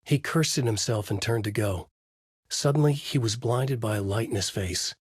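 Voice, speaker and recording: Husky, low-voiced man, brooding noir narrator. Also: normal speed, no room sound, clean studio sound. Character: brooding, haunted male thriller writer. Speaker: voice actor playing a writer protagonist who narrates. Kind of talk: scripted dramatic noir game narration.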